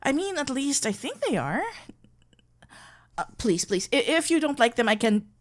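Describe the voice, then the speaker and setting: A woman playing a shy, smitten florist best friend in a romantic ASMR roleplay, speaking hesitantly with stammers and halting phrases. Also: high-pitched, big pitch swings. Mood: flustered, nervous. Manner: hesitant, stammering, halting, with whispered breathy moments.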